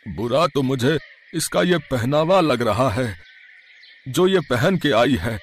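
Casting: old village grandfather for cartoon moral tale, stern, emphatic, very animated intonation male actor. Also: medium pitch, takes it slowly. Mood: stern, disapproving. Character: stern, old-fashioned village patriarch, elderly grandfather-in-law. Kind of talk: animated moral story dialogue.

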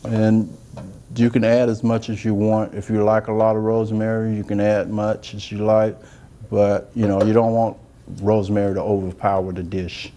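A middle-aged man, a soft-spoken chef talking casually through a cooking demonstration in a studio kitchen. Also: fairly low pitch, little pitch movement, moderate pace. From Georgia, US. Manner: soft-spoken, rambling and homey, talks while working. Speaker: chef and cooking show host. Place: indoor demo kitchen set.

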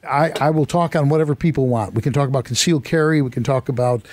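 Hoarse, chatty male radio host. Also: mid-range voice, fast, a little mellow, dry recording. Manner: chatty, self-deprecating, rambling. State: hoarse, losing his voice. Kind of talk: casual radio talk. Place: radio studio. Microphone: studio microphone.

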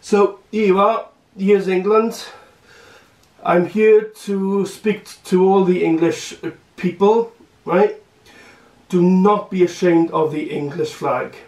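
A young man speaks slowly and earnestly to his camera, stumbling over words and filling gaps with "uh". His voice sits a bit high for a man. The sound is echoey and distant, as if the camera sits across a bare room. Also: fairly even intonation, a little mellow. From Wales. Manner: halting, many fillers and restarts, earnest. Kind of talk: casual vlog speech to camera. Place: small room at home, indoors.

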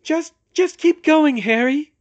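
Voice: takes it slowly, lo-fi sound. A performer voicing an anxious, insistent teenage girl, shaky but urgent. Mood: worried urging. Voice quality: high, shaky. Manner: urgent, faltering, pressing.

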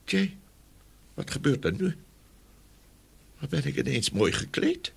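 A man's voice: medium pitch, big pitch swings, a little slow, gravelly, breathy edge, exaggerated voice. An actor playing a simple, idle young peasant man in a fairy tale, with a naive, wondering manner. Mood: amazed.

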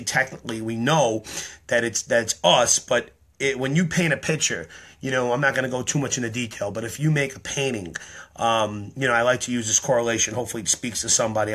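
A man in his thirties talking to his camera indoors, tired and slightly hoarse, explaining his act in an earnest, rambling way full of ums. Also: medium pitch, bright, forceful tone. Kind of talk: casual talk to camera. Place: small room indoors. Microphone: webcam or phone at close range.

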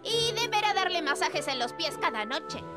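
Niña sabelotodo, voz muy aguda. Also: female voice, very high-pitched, big pitch swings, normal speed. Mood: know-it-all. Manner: precise, enthusiastic. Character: bookish, excitable little birthday girl who loves science. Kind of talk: animated cartoon dubbing. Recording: loud children's music underneath.

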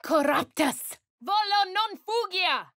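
A woman with a posh southern English accent playing a wry, composed female adventurer in a fantasy game, narrating in a crisp, clipped way with dry asides. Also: very high-pitched, expressive, slow, a bit bright, dry recording, heavily acted. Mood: commanding. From Baldur's Gate. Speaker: player character. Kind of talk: video game voice lines.

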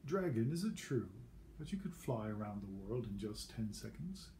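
A man's voice: on the low side, sing-song, moderate pace, mellow, slight background noise. A man voicing a clever, bold young princess in a read-aloud picture book, eager and emphatic. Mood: coaxing.

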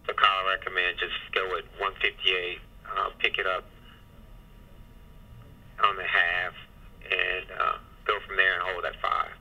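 Low monotone man on mission-control radio. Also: unhurried, pauses often. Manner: matter-of-fact, procedural. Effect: radio transmission. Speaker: launch test director. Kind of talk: procedural radio communication. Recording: muffled, distant launch control radio loop.

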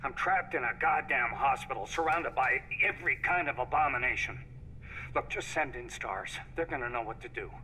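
A man's voice: medium pitch, normal speed, bright, forceful tone, a little hiss or hum, rough recording. A man playing a desperate, arrogant, foul-mouthed research scientist in a video game, agitated and demanding. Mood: exasperated, weary. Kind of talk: dramatic video game acting.

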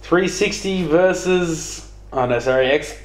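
A man's voice: on the high side, a little slow. A young man interviewing in casual chat, throwing in teasing, sweary interjections. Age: young adult. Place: small living room, on a couch. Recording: echoey room with background noise.

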